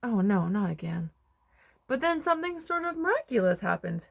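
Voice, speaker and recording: A woman reading a children's picture book aloud, expressively, with dramatic pauses and emphasis. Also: mid-range voice, big pitch swings, creaky. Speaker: storybook reader.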